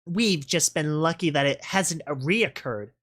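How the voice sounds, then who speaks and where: Man voicing a commanding, sarcastic pony villainess, pitched up. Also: slow, a bit bright. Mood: stern, scornful. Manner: blunt, commanding, sneering. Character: menacing, sarcastic female pegasus pony boss. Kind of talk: dramatic audio fan-fiction reading.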